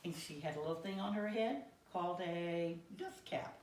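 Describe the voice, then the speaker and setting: An American woman telling a story to young children in a simple, deliberate teacherly way, with questions to her listeners. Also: on the low side, darkish tone, slight background noise. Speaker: children's teacher reading aloud. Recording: roomy echo, distant microphone.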